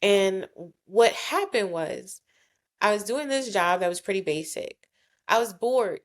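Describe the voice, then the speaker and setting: A woman's voice: mid-range voice, animated, unhurried. A woman in her thirties from South Jersey, a guest talking candidly about her career in a casual podcast interview, often acting out what others said to her. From South Jersey, USA. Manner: candid and chatty, acts out quoted voices. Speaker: guest, nonprofit founder.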